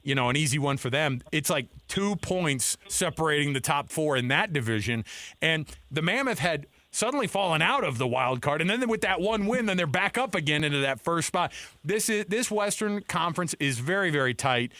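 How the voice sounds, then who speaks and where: A man with a fairly high, bright voice co-hosting a radio show. He rambles excitedly, and his voice sounds dry and close in the studio. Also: normal speed, dry recording. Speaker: radio co-host. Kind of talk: casual radio talk.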